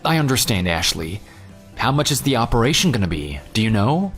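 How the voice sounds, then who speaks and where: A man's voice: mid-range voice, sing-song, brisk, fairly bright tone, husky, soft background music. A man playing a jilted but forgiving ex-fiance in a radio drama, earnest and questioning. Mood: concerned, understanding.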